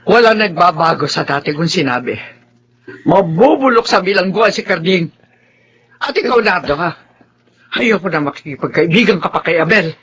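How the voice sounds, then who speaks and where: A woman's voice: lowish voice, expressive, moderate pace, long pauses, a bit bright, a little hiss or hum. A woman playing a bitter, vengeful middle-aged widow in a radio drama, scolding harshly and tearfully. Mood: bitter, stern. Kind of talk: melodramatic radio drama acting.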